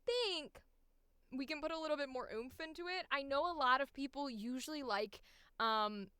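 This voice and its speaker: Bright, chatty woman thinking aloud. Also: high voice, normal speed, no room sound, studio quality. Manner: animated thinking aloud, informal, sweary. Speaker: voice actor recording auditions. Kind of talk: casual livestream chat.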